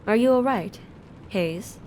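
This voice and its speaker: A woman's voice: medium pitch, slow pace, darkish tone, natural voice. A woman plays a caring but firm schoolteacher in an audio drama. Her mid-range voice is composed and instructive, over faint noise. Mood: concerned.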